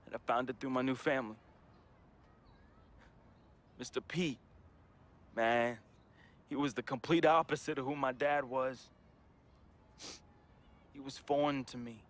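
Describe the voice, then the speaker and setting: A man's voice: medium pitch, fairly even intonation, slow pace, long pauses, fairly bright tone. An actor playing a troubled young man in a game, reading a confessional farewell letter as a heartfelt voice-over with casual slang. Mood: somber. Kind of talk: emotional voice-over monologue. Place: studio voice-over.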